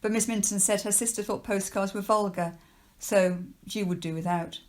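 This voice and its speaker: A woman narrates a children's storybook as a teacher would read it to pupils. Her voice sits at an average pitch for a woman. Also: steady tone, moderate pace, a little mellow.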